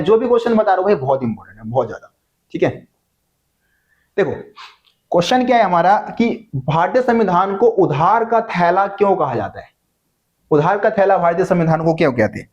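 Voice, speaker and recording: A man's voice: high-pitched, darkish tone, lo-fi sound. A man teaching an exam-prep lesson in a conversational way, often checking in with his students and answering his own questions. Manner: conversational, keeps checking in with "ठीक है जी"; repeats key points, asks and answers own questions.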